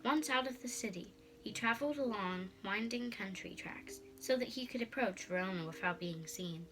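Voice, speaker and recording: A woman's voice: mid-range voice, normal speed, a bit bright, soft background music. A female storyteller reading a storybook aloud in a dramatic narrating style.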